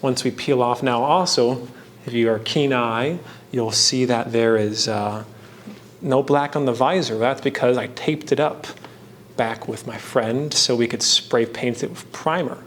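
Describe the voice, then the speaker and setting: A man with a mid-range voice hosts a casual craft session. He talks slowly, meandering from thought to thought with halting stops and trailing phrases. Light background noise sits behind his voice. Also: medium pitch, fairly bright tone. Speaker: host of a painting and fan trivia video. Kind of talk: casual rambling commentary while crafting.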